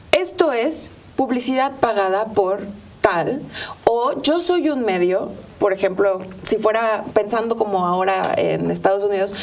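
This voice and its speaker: A woman's voice: mid-range voice, darkish tone. A woman with a Mexican accent hosting a conversational interview, thinking aloud with hesitant repetitions. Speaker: host. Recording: slightly distant microphone, faint noise.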